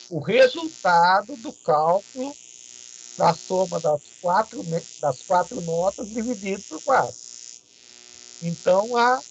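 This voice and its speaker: Male Brazilian teacher, notably high voice, didactic and informal, question tags; dull lo-fi sound. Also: fairly bright tone. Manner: didactic, checks in with short tag questions. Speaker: programming teacher. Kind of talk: informal explanatory lecture to students.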